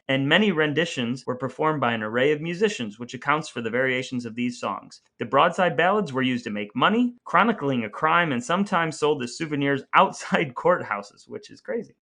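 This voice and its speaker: A man's voice: on the high side, a bit bright. A man co-hosting a podcast in a casual, explanatory way, chatting and sharing background with listeners. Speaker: podcast co-host. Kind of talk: casual chat with some reading aloud from an article.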